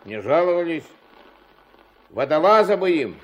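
An actor playing a gruff, strict old river captain and grandfather, curt and moralising, in a Soviet radio play. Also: a little high, very animated, measured pace, a crowd in the background. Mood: businesslike. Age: older. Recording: noisy old 1970s mono radio recording.

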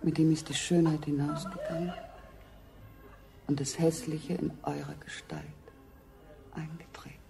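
A woman's voice: low-pitched, level tone, a little slow, gravelly, pauses often. A woman playing a lofty, contemptuous female figure who declaims in a science fiction radio drama. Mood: lofty, scornful. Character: scornful woman idolising beauty, despising her captors. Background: music.

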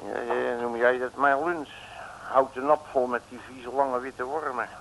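Grumbling detective, sharp-voiced. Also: male voice, medium pitch, fairly even intonation, a little slow. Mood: grumbling disgust. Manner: exasperated, hammy, stammering complaints. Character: grumbling theatrical male detective stuck in jail. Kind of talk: 1950s-60s comic radio play acting. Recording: noisy old radio recording.

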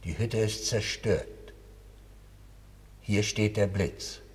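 An actor playing a defeated captive king, giving solemn, measured witness in a German radio play. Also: on the low side. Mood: grave, bitter. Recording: long reverberant hall.

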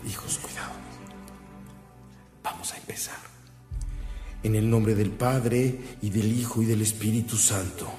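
Radio drama role, male actor: devout, wry Mexican priest posing as a peddler, measured pace. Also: fairly low pitch, fairly even intonation, dark tone, soft background music, lightly acted. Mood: hushed, careful. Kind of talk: Spanish-language radio drama acting.

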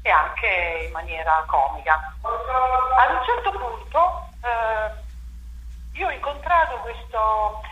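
A woman's voice: a little high, lively intonation, takes it slowly, fairly bright tone, muffled recording. Reflective woman in an echoey room. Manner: reflective, many fillers and restarts. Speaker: playwright and theatre director. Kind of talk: interview, explaining her stage work. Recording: echoey room with faint noise.

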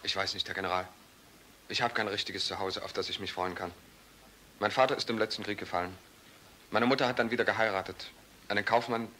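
An actor in a German radio play voicing an earnest, dutiful young soldier who speaks formally and with rigid idealism. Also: male voice, on the low side, pushed and bright, a little hiss or hum. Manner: formal, earnest, halting when confiding. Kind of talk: German radio drama acting.

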